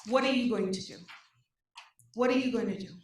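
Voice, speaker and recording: A woman's voice: on the high side, fairly quick. Woman with Indian accent, echoey hall. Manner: animated, rhetorical questions, frequent "okay?" check-ins. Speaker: nonprofit program presenter. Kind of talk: energetic public talk to an audience. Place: large meeting room. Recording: echoey hall, speaker far from mic.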